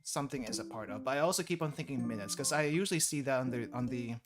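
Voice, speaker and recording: A man's voice: medium pitch, quick pace. A young man from the Philippines, chatting casually and thinking aloud while he studies on a stream, laughing often. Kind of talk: casual study stream chat. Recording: music playing behind.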